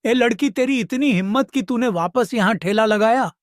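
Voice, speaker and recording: A man's voice: very high-pitched, moderate pace, no room sound, clean studio sound. Man acting a bullying, jealous street vendor. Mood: angry, threatening. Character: angry jealous male food-cart vendor, bullying and scheming. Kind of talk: animated moral story dialogue.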